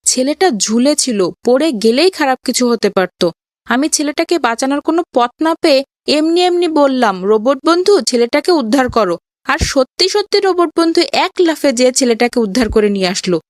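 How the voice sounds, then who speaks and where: Young boy played by a woman, children's cartoon: high-pitched, pious, sincere, patient; dry sound. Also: lively intonation, fairly quick, no echo. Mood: earnest. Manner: earnest, explains patiently. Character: pious, kind young boy who owns a robot.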